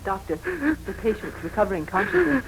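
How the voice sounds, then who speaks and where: An actress playing a kind, friendly hospital nurse in a 1940s radio drama, gentle and coaxing. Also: female voice, high-pitched, sing-song, moderate pace, vocal fry, heavily acted. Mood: attentive. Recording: faintly hissy 1940s radio recording.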